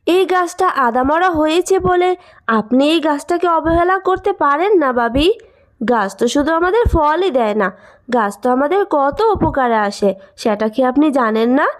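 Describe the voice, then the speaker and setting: A woman's voice: high voice, moderate pace, close to an everyday voice. A woman voicing a kind, patient young village widow and mother in a cartoon moral story, speaking earnestly. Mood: earnest pleading. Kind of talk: animated moral story acting.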